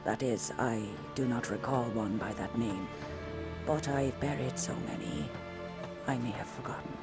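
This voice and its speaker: A woman's voice: extremely low pitch, normal speed. A woman playing a curt, mournful island mourner in a video game. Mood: bleak. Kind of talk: video game cutscene acting. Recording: loud game music under the voice.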